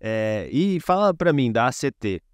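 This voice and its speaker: Male host, mid-pitched voice, hesitant filler-heavy speech, dry close sound. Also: big pitch swings, a little slow, dry recording. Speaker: podcast host. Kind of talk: interview hosting.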